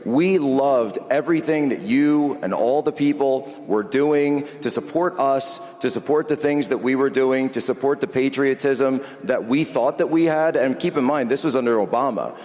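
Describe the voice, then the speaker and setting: A man's voice: mid-range voice, normal speed, hardly any pauses, a little mellow. A man in his thirties interviewing on a conference stage, earnest and rambling, often correcting himself. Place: large conference hall stage with live audience. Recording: long hall reverb.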